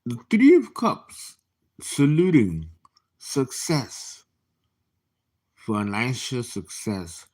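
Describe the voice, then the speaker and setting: A man in his late fifties giving a casual tarot reading, chatty and animated, with laughing asides and dropped whispers. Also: medium pitch, very slow, long pauses. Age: 50s. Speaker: tarot reader.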